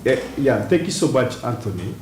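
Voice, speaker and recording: A man, a guest on a TV panel, explaining his points deliberately with many pauses and restarts. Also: mid-range voice, big pitch swings, a little mellow. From western Uganda, near Congo and Rwanda borders. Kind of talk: studio panel discussion. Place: TV studio. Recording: roomy studio, faint hiss.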